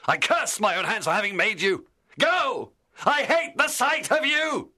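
Male actor as haunted audiobook scientist, low bright voice, intense, remorseful, dramatic. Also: very animated, measured pace, no echo, clean studio sound, full character voice. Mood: furious, remorseful. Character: horrified, remorseful male scientist recounting his tale. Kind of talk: dramatised literary audiobook narration.

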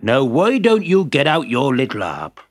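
A man's voice: mid-range voice, huge pitch swings, normal speed, over music. A man voicing the giant's wife, a middle-aged woman who coaxes and soothes her husband. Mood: coaxing. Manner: coaxing, placating.

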